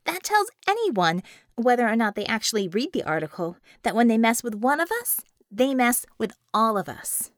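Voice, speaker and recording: A woman's voice: on the high side, sing-song, normal speed, no room sound, studio quality. A woman voicing a confident, sly superheroine leader in an audiobook, with an assured, coaxing and emphatic delivery. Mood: smooth, triumphant.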